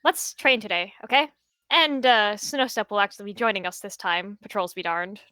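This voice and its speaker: A woman voicing a nervous young she-cat warrior and mentor in a voice roleplay, talking in a hesitant, halting way. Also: mid-range voice, bright, forceful tone, clean tone, no echo, close to an everyday voice. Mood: uneasy.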